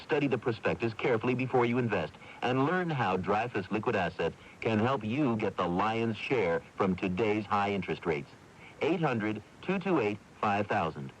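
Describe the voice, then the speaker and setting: A man's voice: fairly low pitch, animated, normal speed. A man reading a scripted 1980s radio advertisement as announcer, persuasive and emphatic. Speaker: commercial announcer. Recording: muffled, faintly hissy 1982 radio tape.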